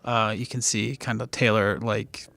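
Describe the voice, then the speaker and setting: A man's voice: lowish voice, little pitch movement, unhurried, creaky, fairly bright tone, dry recording. A man narrating an improvised tabletop game in a casual, loosely descriptive way. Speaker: podcast player narrating his character's actions.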